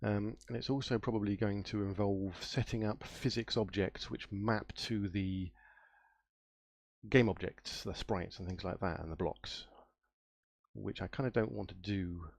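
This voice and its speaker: A man's voice: fairly low pitch, steady tone, a little slow, gravelly, darkish tone, dry recording. A man with a nasal voice from a cold, live-streaming his game coding and thinking aloud, muttering and trailing off as he searches for things. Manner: muttering to himself, trailing off and spelling words out while searching.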